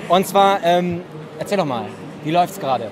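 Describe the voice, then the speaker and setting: A man's voice: sits high, lively intonation, moderate pace, noisy background. A young man doing a casual on-location interview as the interviewer at a fair booth. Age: young adult. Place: book fair booth in a large hall. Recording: busy trade fair hall chatter.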